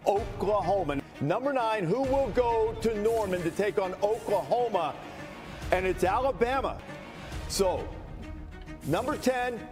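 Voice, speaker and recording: A man announcing rankings in a televised broadcast clip. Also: high voice. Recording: broadcast clip over music.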